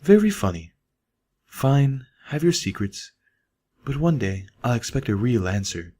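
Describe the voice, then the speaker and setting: A man's voice: medium pitch, sing-song, a little slow, mellow, voice pushed up. A man voicing a refined female pony, prim and polite, curious and skeptical, in a story reading. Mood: wry.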